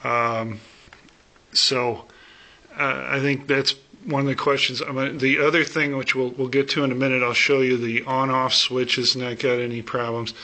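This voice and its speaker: A man giving a casual, unscripted product demo as a seller, halting and thinking aloud, recorded on a camera phone. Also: medium pitch, little pitch movement, bright, forceful tone. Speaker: private seller demonstrating a vintage radio. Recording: noisy camera phone audio.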